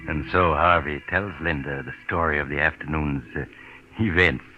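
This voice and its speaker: An actor playing an ominous, knowing storyteller, narrating a 1940s radio mystery. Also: male voice, a bass voice, steady tone, normal speed, music in the background. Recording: noisy, muffled old radio recording.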